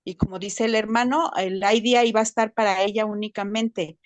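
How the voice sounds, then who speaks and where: A woman takes part in an online class and explains things helpfully. Her voice is at a middle pitch, heard over a dry video call. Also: fairly even intonation, a little fast, dry recording. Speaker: class participant.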